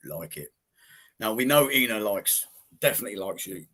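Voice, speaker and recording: A man's voice: lowish voice, lively intonation, normal speed, a bit bright. A man hosting a casual music interview with a cheeky, dry, teasing manner. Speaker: show host.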